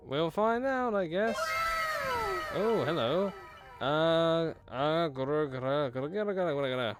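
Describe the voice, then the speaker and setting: A young man plays a horror game live, reading the story aloud, then chatting with viewers in a loose, swear-heavy way. His pitch jumps around a lot, with soft music under him. He talks into a headset in a small room. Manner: swears often, laughs, banters with chat. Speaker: streamer.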